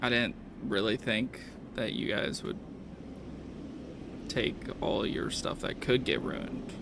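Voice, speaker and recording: A man narrates a tabletop game as game master in a slow, casual way. His phrasing is halting and his voice has a creaky, gravelly edge. There is faint noise behind him. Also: mid-range voice.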